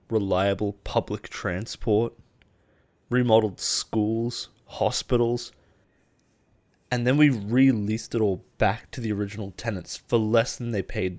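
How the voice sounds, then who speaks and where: A man playing a possessive, persuasive boss in an intimate audio roleplay, speaking in a measured, earnest way with deliberate pauses. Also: lowish voice, a little mellow, natural voice. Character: possessive, persuasive male CEO boss, smooth and protective. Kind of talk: intimate dramatic roleplay monologue.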